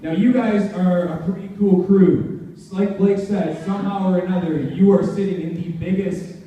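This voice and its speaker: A young man speaks in a high voice, delivering an emphatic, informal motivational speech. The sound is heavily echoey and captured from far off, as in a large hall. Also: fairly even intonation, normal speed, warm tone. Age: young adult. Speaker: motivational speaker at a student leadership conference. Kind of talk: motivational talk to a live audience. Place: large indoor conference hall.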